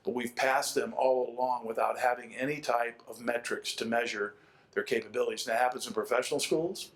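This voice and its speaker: A man's voice: mid-range voice, fairly even intonation, brisk, low-quality recording. A man, likely middle-aged, speaking as a panelist, making an extended and opinionated argument and repeating key phrases for emphasis.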